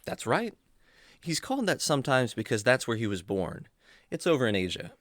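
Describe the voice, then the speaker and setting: A kindly adventurer played by a man in a kids' radio drama, teaching with patience and earnest care. His mid-pitched voice rises and falls expressively, recorded dry and close. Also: big pitch swings, a little fast, no echo. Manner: gentle, earnest, explanatory. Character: kindly adventurer man, patient storytelling mentor to animal buddy. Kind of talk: children's radio drama acting.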